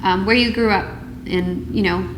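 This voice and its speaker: A woman hosting an interview podcast, chatting casually with many pauses and restarts. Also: medium pitch, steady tone, roomy sound, noisy background. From United States. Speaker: podcast host.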